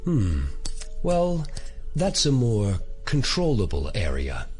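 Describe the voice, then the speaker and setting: An actor playing a cold, imperious alien elder in an audio drama, speaking in a measured, formal, condescending way. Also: male voice, mid-range voice, wildly swooping pitch, darkish tone, faint music behind. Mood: cold, calculating.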